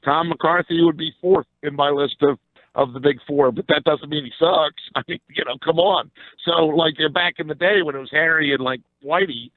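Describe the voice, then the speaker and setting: A man's voice: on the high side, normal speed, no echo, narrow, tinny audio. An American man chatting casually and bluntly about sports as an opinionated radio guest. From Philadelphia area, USA.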